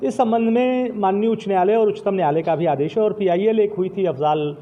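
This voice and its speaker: A man giving a formal interview as a senior official, speaking in a measured, halting way with English terms mixed in. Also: high voice, narrow, tinny audio.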